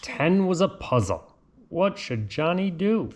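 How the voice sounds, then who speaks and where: A man reads a children's storybook aloud in a deliberate, lilting way, with casual asides. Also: on the high side, big pitch swings, noisy background. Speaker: reader of picture books.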